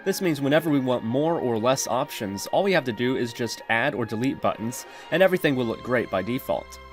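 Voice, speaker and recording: A man narrating a software tutorial in an instructional, step-by-step manner. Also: medium pitch. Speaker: tutorial presenter.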